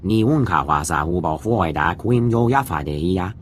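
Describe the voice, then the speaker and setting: A man voicing a gruff alien who speaks a guttural invented tongue in a video game. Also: deep voice, lively intonation, fairly quick, slight background noise. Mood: stern. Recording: music behind the voice.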